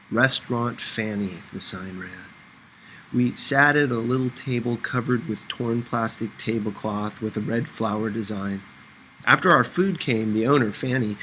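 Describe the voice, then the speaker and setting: A man's voice: mid-range voice, a bit bright, slight background noise, low-quality recording. A man reading a first-person novel aloud as scripted audiobook narration. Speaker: audiobook reader.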